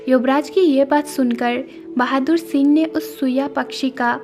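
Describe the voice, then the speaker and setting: High-pitched woman narrating a cartoon story. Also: fairly even intonation, measured pace. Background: faint music.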